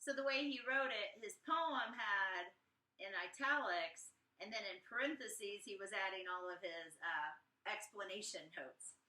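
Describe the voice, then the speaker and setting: Female teacher, chatty read-aloud host with expressive asides, echoey room, a little far from the mic. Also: mid-range voice, unhurried. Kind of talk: informal read-aloud lesson for students.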